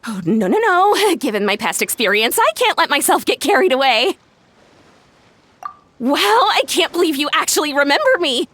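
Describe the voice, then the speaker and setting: A woman playing an excitable, dreamy and earnest young amusement park showwoman in a video game, effusive and theatrical. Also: high voice, moderate pace. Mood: eager, dreamy.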